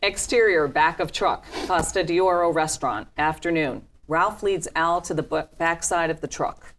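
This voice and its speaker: A woman's voice: low voice, moderate pace, fairly bright tone, slight background noise. A woman narrating scene headings and stage directions from a script at a table read.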